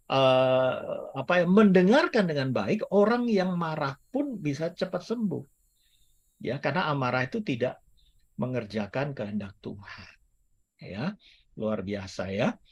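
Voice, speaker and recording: A man preaching in a casual, chatty way, joking with his listeners and mixing in English phrases. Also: mid-range voice. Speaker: guest speaker. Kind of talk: casual teaching sermon. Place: church service or online meeting.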